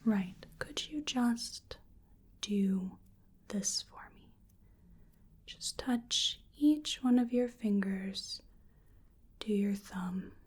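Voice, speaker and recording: A woman speaking in a breathy, whispery soft voice, role-playing a medical examiner in an ASMR style, gentle and hesitant as she murmurs to herself. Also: medium pitch, drawn-out words, pauses often.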